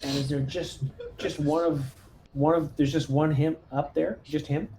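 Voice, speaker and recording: Male tabletop player, relaxed mellow tone, mid pitch, casual planning talk, faint noisy backdrop. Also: moderate pace. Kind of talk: casual in-game planning chat. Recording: noticeable background noise.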